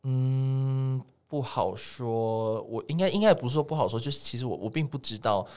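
A man with a Taiwanese accent chatting casually as a guest music producer, telling things in an animated way and quoting people in dialogue. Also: mid-range voice, moderate pace. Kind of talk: casual podcast interview.

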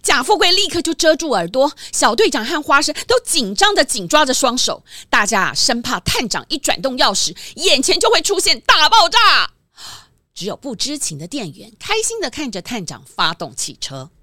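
A woman narrating a scripted children's story in a dramatic, expressive way. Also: high-pitched, moderate pace, no room sound, studio quality. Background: music.

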